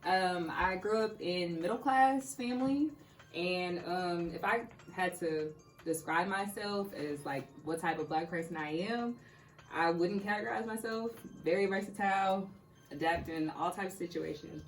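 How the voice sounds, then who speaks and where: A woman's voice: lowish voice, a little slow, reverberant. A young woman from Texas chatting casually as a podcast guest. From Dallas, Texas. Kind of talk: casual chat. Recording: distant mic, faint music behind.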